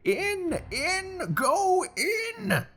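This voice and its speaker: A man's voice: extremely high pitch, wildly swooping pitch, very slow, pushed and bright, faint music behind, voice pushed up, exaggerated voice. A man voicing a furious blind old pirate beggar who snarls and shrieks commands. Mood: shrieking.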